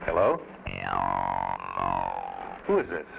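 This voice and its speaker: An unnerved man questions a mysterious caller in a film drama. His voice swings widely in pitch, on muffled old film sound with music. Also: wildly swooping pitch, slow, full character voice. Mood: uneasy, irritated. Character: unnerved man answering a mysterious phone call.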